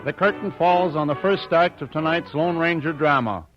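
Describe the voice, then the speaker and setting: Higher-voiced male radio announcer. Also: moderate pace. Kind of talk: scripted 1940s radio announcing. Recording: dull old radio recording. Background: music.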